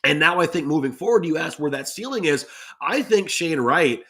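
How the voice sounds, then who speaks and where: A man's voice: fairly high pitch, expressive, moderate pace, a bit bright. A man talking animatedly as a sports podcast guest, with an emphatic, playful delivery like a salesman's. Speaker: guest, radio play-by-play announcer.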